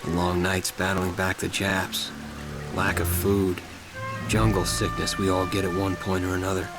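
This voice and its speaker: A man's voice: low voice, flat delivery, normal speed, music in the background. An actor playing a war-weary young American Marine, narrating his campaign in a subdued, plainspoken, reflective way. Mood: weary, somber. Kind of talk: scripted first-person narration.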